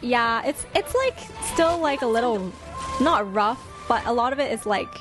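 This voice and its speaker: A woman's voice: a little high, animated, moderate pace, music in the background. A woman giving casual, chatty reaction commentary as host, with a playful, exclamatory manner. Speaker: host ranking game trailers.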